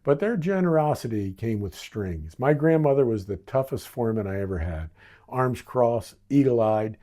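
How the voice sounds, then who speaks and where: An American man in his sixties narrates a memoir in a warm, dark-toned voice at a medium pitch. His delivery is wry and theatrical, built on short, punchy phrases. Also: animated, moderate pace. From Baltimore, United States. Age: 60s. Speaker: author reading own memoir.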